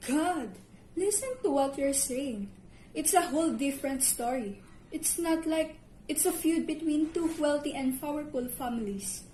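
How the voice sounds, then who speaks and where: High-pitched, mellow woman acting a dismissive, cynical school official, amateur drama, echoey room. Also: unhurried. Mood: exasperated. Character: cynical, dismissive school librarian or administrator guarding her books. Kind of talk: amateur one-act play acting. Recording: echoey room with background noise.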